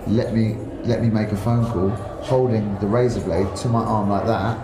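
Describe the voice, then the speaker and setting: A man, a prison inmate, speaking in a hurried, stammering way. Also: on the low side, fairly even intonation. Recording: echoey room with loud noise.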